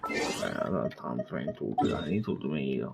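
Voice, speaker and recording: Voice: a bass voice, lively intonation, rapid-fire, creaky. A video game player giving casual, offhand commentary, mumbling asides and trailing off. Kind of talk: casual gameplay commentary, reading dialogue aloud. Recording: dull lo-fi mic with game music.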